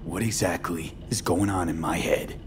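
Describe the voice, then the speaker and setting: Man acting low-voiced blunt mercenary V. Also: normal speed, lightly acted. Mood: guarded. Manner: blunt, terse, profane. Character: hard-bitten young male mercenary, blunt and weary. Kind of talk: video game dialogue acting. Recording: game ambience and traffic noise behind.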